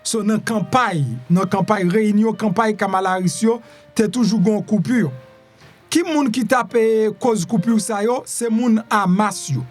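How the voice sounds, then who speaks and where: A man with a high-pitched voice and a Haitian accent comments on the news in an informal, conversational way. He repeats phrases and throws out rhetorical questions, with music playing behind him. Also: a little slow. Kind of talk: informal news commentary in Creole with some French and English.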